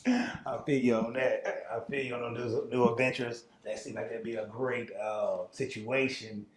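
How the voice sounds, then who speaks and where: In a roomy, echoing recording, an American man with a mid-pitched voice conducts an interview. His questions come in casual, colloquial conversation. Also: flat delivery, a little slow. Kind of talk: casual street-level interview chat. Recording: echoey room sound.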